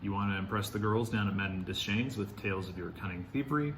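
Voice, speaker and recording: A man's voice: lowish voice, fast, fairly bright tone, a little hiss or hum. A man playing a wary, practical fantasy shopkeeper who fences stolen goods, speaking in a dry, sceptical manner. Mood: wary, stern. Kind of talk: dramatic audio fiction acting.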